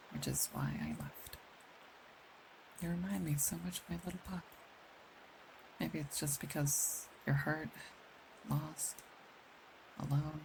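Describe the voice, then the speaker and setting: A woman voicing a caring, protective female werewolf in an intimate ASMR roleplay, speaking gently and confidingly with pauses. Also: low-pitched, gravelly, a little hiss or hum, full character voice, low-quality recording. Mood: wistful, subdued. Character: caring, protective female werewolf, older than she looks, wistful. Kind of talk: ASMR roleplay, intimate scripted acting addressed to one listener.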